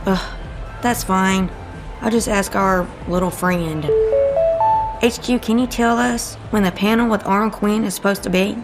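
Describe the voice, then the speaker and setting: A woman's voice: medium pitch, fairly even intonation, unhurried, loud music behind the voice, natural voice. A woman acting an exasperated, sardonic lady agent. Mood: puzzled. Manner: dry, sarcastic, folksy scolding. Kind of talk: comedic audio drama acting.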